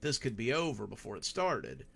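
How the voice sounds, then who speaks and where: A man's voice: mid-range voice, wildly swooping pitch, normal speed, gravelly, fairly bright tone, slight background noise. Man narrating a horror story. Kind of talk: scripted first-person audiobook narration.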